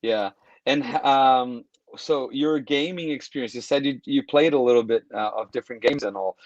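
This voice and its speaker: A man's voice: medium pitch, normal speed, dry recording, dull audio. A man from Brazil hosting a casual video call interview, talking in a friendly, rambling way with restarts. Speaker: podcast host.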